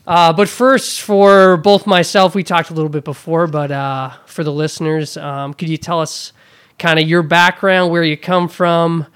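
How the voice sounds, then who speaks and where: A man's voice: fairly high pitch, little pitch movement, moderate pace, a bit bright, clear, resonant tone. A man hosting a podcast interview in a hotel room, enthusiastic and full of fillers. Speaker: podcast host.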